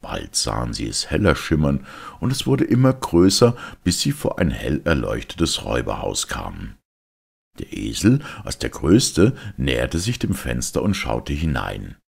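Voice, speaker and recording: Man reading a fairy tale, very deep voice, gravelly, measured, lively intonation. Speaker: narrator.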